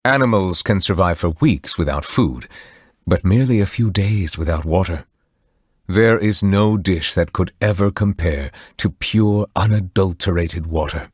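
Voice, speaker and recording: Deep, solemn, refined judge. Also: male voice, husky, no room sound, studio quality. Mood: refined, discerning. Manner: formal, measured, eloquent, reserved. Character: solemn, refined male judge, courteous otherworldly being. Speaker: voice actor in a video game. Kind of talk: scripted game character voice lines.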